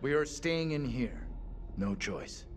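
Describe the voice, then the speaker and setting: Commanding man, animated pitch. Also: takes it slowly, a little hiss or hum. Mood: firm, commanding. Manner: blunt, authoritative. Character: commanding, pragmatic modern man taking charge. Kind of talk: dramatic horror game acting.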